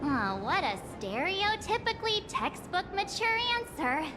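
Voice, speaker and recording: Bubbly teenage girl adventurer, very high voice, animated and playful, anime game, music underneath. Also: a little slow, heavily acted. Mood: playful, thrilled. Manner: exclaiming, playful, teasing. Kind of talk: anime-style video game voice acting. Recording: music behind the voice.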